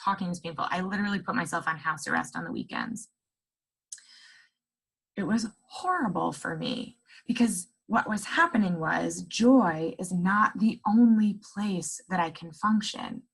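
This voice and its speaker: A woman's voice: lowish voice, lively intonation, unhurried, vocal fry, husky. A woman coach leading a workshop, talking earnestly to a group and breaking into bursts of enthusiasm.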